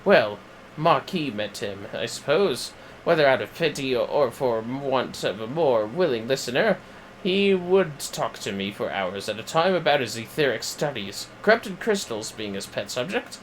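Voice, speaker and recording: A man voicing an earnest, eloquent fantasy game strategist in a formal, measured, declamatory way. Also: mid-range voice, big pitch swings, slight background noise, close to an everyday voice, low-quality recording. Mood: thoughtful, resolute. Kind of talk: acted reading of fantasy game dialogue.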